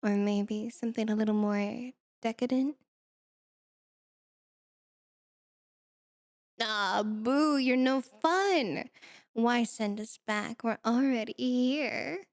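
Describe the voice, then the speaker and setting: Playful succubus demon, played by a woman: coy temptress, slightly high voice, intimate dry sound. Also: slow pace, dry recording. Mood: teasing. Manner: coy, teasing delivery. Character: sultry, mischievous female succubus demon, playful and taunting. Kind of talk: flirty ASMR roleplay acting.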